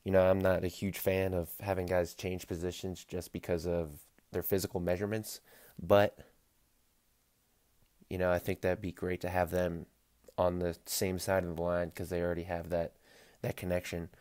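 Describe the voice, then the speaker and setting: A man hosting a casual solo sports podcast, stuffy-nosed from allergies, rambling and correcting himself mid-sentence with wry deadpan asides. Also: low-pitched, normal speed. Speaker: podcast host.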